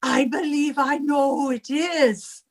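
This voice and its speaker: Melodramatic high-voiced Irish landlady. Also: steady tone, slow. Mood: dramatic, indignant. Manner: melodramatic, wailing, bossy. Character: theatrical, combative older Irish widowed landlady. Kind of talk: 1950s radio comedy acting.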